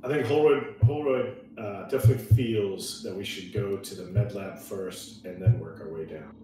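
Male tabletop player, casual delivery, heavily echoing room with clear background noise. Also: fairly low pitch, normal speed, dark tone. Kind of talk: casual game chat.

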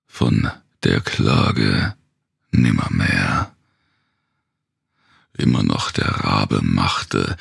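Very deep gravelly man reciting darkly. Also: dry recording, clean studio sound. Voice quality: gravelly, husky, rasping. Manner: theatrical, brooding, ominous. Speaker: narrator reading a horror poem aloud. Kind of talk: dramatic literary recitation, dark Gothic poetry.

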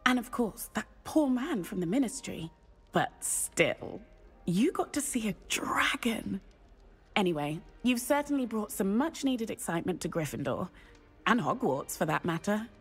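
A woman's voice: medium pitch, sing-song, a little slow, slightly rough. A woman playing a chatty, thrill-seeking teenage witch with a playful, airy manner and a British accent in a video game. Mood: chatty, intrigued. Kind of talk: video game character dialogue. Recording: music behind the voice.

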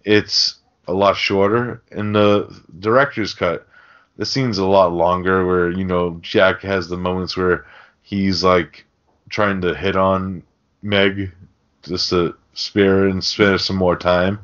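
A man's voice: low-pitched, flat delivery, slow, a bit bright, muffled recording. A man hosting a podcast, casually recapping a film in slangy, swear-heavy talk. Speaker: podcast host.

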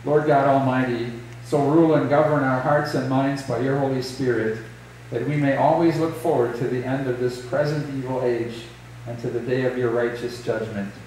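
Middle-aged pastor in an echoey church. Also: male voice, mid-range voice, fairly even intonation, darkish tone, recorded from a distance, a little hiss or hum. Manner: measured, solemn liturgical delivery. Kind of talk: liturgy and scripture reading. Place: small church sanctuary. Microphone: distant camera, later lectern mic.

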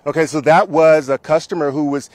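A man's voice: a little high, steady tone, a little fast, a little mellow, no room sound. A man in his thirties, a field reporter speaking into a handheld mic outdoors, delivering the news earnestly. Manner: earnest, emphatic live delivery. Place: outdoors in a mall parking lot.

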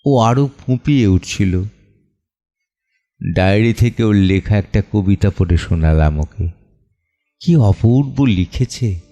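A man acting an anguished, humble middle-aged clerk and father in an audio drama monologue, theatrical and deliberate, pausing between short phrases. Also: fairly low pitch, animated, dark tone. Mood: tender grief. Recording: music under the voice. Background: background music.

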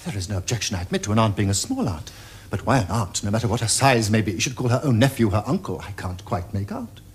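An actor playing a flippant, teasing Victorian gentleman dandy, with an arch, theatrical upper-class English delivery. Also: on the low side, big pitch swings, quick pace, slightly rough, heavily acted. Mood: mocking, playful. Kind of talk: period stage comedy acting for television.

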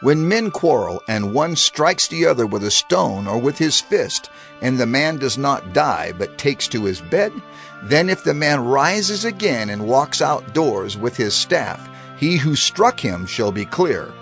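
A man reads scripture in a solemn, measured way, his pitch rising and falling freely. He slows down to stress key phrases. Faint music plays beneath his voice. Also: very animated, gravelly, fairly bright tone. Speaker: scripture reader. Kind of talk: dramatized scripture reading.

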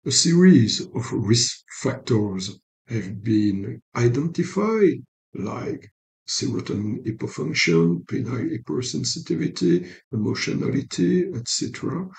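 A middle-aged man from Belgium with a French accent, a psychologist giving a careful, measured recorded lecture over slides from a desk webcam at home. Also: medium pitch, gravelly, a little mellow. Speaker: psychologist and sex therapist.

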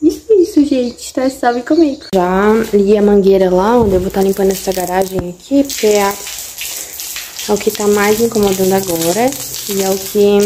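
A woman's voice: mid-range voice, normal speed, mellow, slight background noise, poor audio. A young woman chatting casually to camera as a home vlogger, often tagging on little questions to her viewers. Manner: chatty, ends phrases with tag questions to viewers. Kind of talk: casual vlog chat to camera. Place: house interior, garage and kitchen. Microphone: handheld camera at arm's length.

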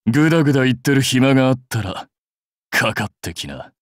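A man's voice: medium pitch, lively intonation, a little fast, no room sound, studio quality, lightly acted. Man voicing a fierce, proud warlord, widely swinging delivery. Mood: smug, dismissive. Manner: terse, rough, declamatory delivery. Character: proud, fierce warlord general, commanding and cocky. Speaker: voice actor. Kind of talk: anime-style mobile game battle and dialogue voice lines.

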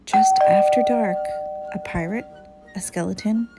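A woman reading a children's story aloud in an expressive, deliberate, dramatic way. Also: mid-range voice, over loud music.

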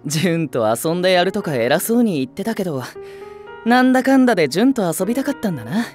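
An actor playing a sharp-tongued young male nursery teacher with a snarky, teasing way of talking. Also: sits high, big pitch swings, quick pace, soft background music. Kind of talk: anime voice drama acting.

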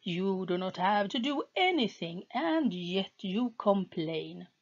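A possessive, volatile husband in a storybook, condescending and sweet-talking toward his wife, read by a woman in close to her own voice. He speaks slowly, and the recording is dull and lo-fi. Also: medium pitch, big pitch swings, exaggerated voice.

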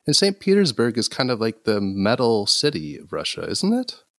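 A man's voice: medium pitch, wildly swooping pitch, normal speed, fairly bright tone, no room sound, clean studio sound. A man hosting a music interview podcast, asking casual questions and laughing lightly. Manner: friendly, laughs between questions. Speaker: podcast host.

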